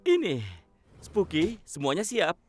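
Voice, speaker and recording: Brief: a steady rescue robot for a kids' cartoon, lively pitch, music under the lines. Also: male voice, takes it slowly, exaggerated voice. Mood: kind. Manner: clear, instructive, animated. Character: Roy, male fire truck robot rescuer, calm and caring. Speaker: rescue robot giving fire safety lesson. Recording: children's cartoon dub with music behind.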